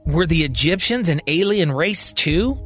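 A man's voice: on the high side, expressive, unhurried, a bit bright, breathy edge, faint music behind, full character voice. Man voicing curious, puzzled male teammate.

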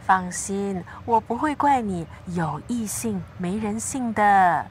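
An actress playing an easygoing best friend in a radio drama, playful and teasing. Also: female voice, medium pitch, expressive, slow pace, slight background noise.

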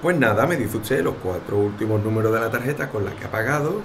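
An actor playing a polite, cheerful ticket inspector in an audio drama, courteous and chatty. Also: male voice, on the low side, expressive, fast. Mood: helpful. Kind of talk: audio fiction acting. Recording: clear ambient noise behind voice.

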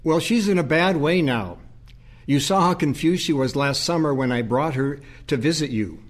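A man's voice: on the high side, normal speed, big-room sound, lightly acted. A man acting in an audio play as a stressed, pleading priest who cares for his confused mother. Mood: worried, stressed. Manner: earnest, burdened, pleading.

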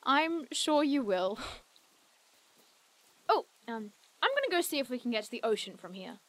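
A curious young investigator in an audio drama. Her voice is notably high. She is earnest and quirky, stating strange things in a matter-of-fact way. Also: very animated, normal speed, a bit bright, no echo, heavily acted. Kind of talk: naturalistic audio drama dialogue.

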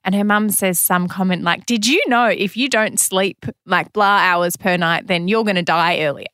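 A young Australian woman chatting casually as a podcast co-host, animated and teasing. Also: medium pitch, moderate pace, clean tone, dry recording, studio quality. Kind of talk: casual chat.

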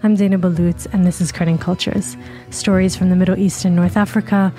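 A woman narrates a documentary podcast in a low, mellow voice. Her delivery is measured and scripted. Faint music plays behind her. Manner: measured, even storytelling. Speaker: podcast host.

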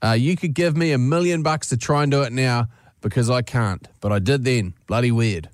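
A man's voice: medium pitch, normal speed, dry recording. Aussie male co-host, cheeky and blunt, dry close sound. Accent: Australian. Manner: wisecracking, blunt. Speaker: radio host. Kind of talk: casual radio chat.

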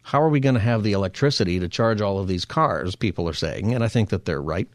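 A man's voice: lowish voice, expressive, fast talker, dry recording. A man hosting a faith-based talk radio show, earnestly encouraging listeners in a preacher-like way. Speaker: radio host. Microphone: studio microphone.

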